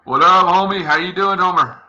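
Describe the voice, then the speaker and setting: A man's voice: high-pitched, brisk, pushed and bright, highs cut off. A man with an American East Coast accent hosting a casual interview, upbeat and slangy. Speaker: podcast host.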